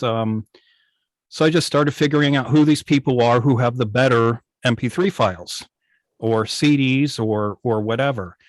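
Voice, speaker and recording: An older man with an American accent telling stories informally on a video call, halting and often pausing mid-thought. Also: mid-range voice, no room sound. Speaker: guest, old-time radio station operator. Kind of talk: informal storytelling in an online club meeting.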